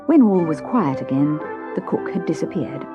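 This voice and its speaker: A woman's voice: low voice, big pitch swings, moderate pace. A woman narrating a children's storybook expressively. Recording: dull cassette transfer with music. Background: music.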